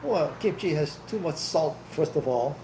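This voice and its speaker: A man's voice: on the high side, expressive, moderate pace, warm tone. An older man from Hawaii, answering questions in an oral history interview, blunt and plainspoken, laughing easily. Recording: echoey room with faint hiss.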